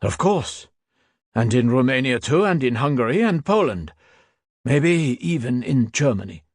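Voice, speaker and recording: A man reading an audiobook voices a weary fifty-year-old soldier who talks in a casual, mocking, unhurried way. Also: mid-range voice, expressive, no echo, clean studio sound, voice pushed up. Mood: matter-of-fact.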